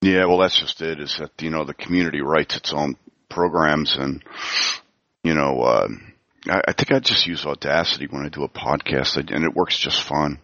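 A man's voice: extremely low pitch, normal speed, bright, forceful tone, no room sound, dull audio. A man chatting casually as a guest, hesitant and full of fillers. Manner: hesitant, many fillers, friendly. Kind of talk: casual chat.

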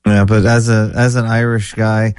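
A man's voice: on the low side, little pitch movement, moderate pace, darkish tone, clear, resonant tone, dry recording, clean studio sound. A man hosting an interview, asking curious follow-up questions in a casual way. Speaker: interviewer, host.

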